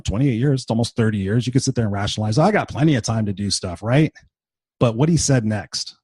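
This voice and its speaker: A man in his fifties gives an unscripted motivational talk, blunt and sprinkled with swearing, leaning hard on repeated emphasis. His voice is husky and a little worn from being sick and tired, sitting at an everyday middle pitch. The sound is dry and close, with no room around it. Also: darkish tone, no echo. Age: 50s. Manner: blunt and profane, emphatic repetition. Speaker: motivational podcast host.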